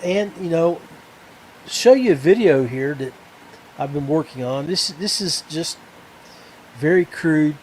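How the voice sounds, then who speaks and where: American man, higher-pitched, over background noise. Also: animated, a little mellow, low-quality recording. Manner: halting, searching for words. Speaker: podcast host. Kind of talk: casual, rambling solo podcast talk.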